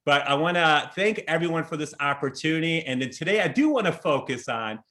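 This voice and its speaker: Earnest high-voiced man giving a keynote. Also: lively intonation, a little fast, bright, forceful tone. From Chicago. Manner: earnest, conversational, with frequent restarts and "right?" asides. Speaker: keynote speaker. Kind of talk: conference keynote over video call. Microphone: video call.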